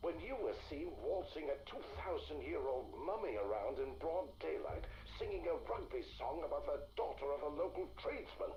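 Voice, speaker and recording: In a roomy, faintly noisy recording, an older gentleman scholar with a scheming streak. He is wry and persuasive, often hushed, with theatrical swings in pitch. It plays like a period video game audio log. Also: lively intonation, moderate pace, hardly any pauses, dull audio. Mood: dry, wry.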